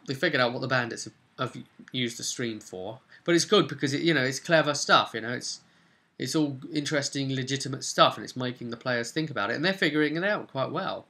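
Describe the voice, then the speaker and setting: Male game master, bright forceful voice, mid pitch, casual and rambling recap of a tabletop campaign. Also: fairly quick.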